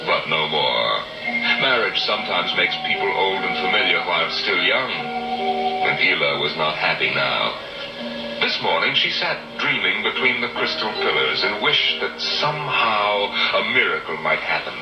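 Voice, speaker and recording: Old time radio narrator guy, low dramatic storytelling, echoey with big music. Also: very animated, normal speed. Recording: boxy old radio broadcast, distant, roomy echo. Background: loud music.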